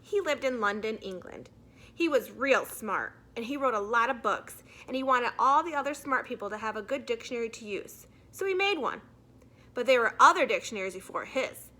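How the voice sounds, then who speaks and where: A woman's voice: a little high, lively intonation, moderate pace, sharp tone. A woman voicing a nervous but earnest schoolboy in a children's storybook, speaking plainly and a little hesitantly while giving a report.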